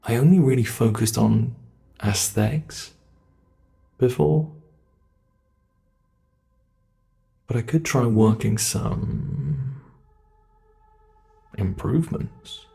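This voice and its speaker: A man acts out a sinister monster for a horror ASMR roleplay. He takes his time over every word, in a mid-pitched voice full of gravelly creak. He gloats and muses theatrically, a sadistic predator toying with his prey. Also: dark tone. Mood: musing, pleased. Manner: slow, menacing, gloating, theatrical musing with drawn-out words.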